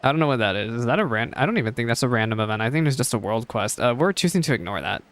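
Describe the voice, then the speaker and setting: A man's voice: mid-range voice, animated, very fast, fairly bright tone, soft background music. A man giving casual live reaction commentary on a game, chatty, rambling and self-deprecating. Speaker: game streamer.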